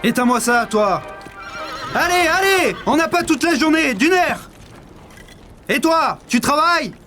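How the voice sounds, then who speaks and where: An actor playing an impatient army guard who barks clipped orders at prisoners in an audio drama. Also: male voice, very high voice, animated, measured pace, pushed and bright, soft background music, heavily acted. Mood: barking, impatient.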